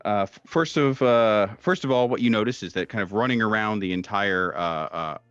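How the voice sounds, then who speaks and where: Low-voiced man narrating, dry dull recording. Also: fairly bright tone, smooth, no room sound. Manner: halting, with many pauses. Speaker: game master. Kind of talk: improvised narration of a tabletop horror game.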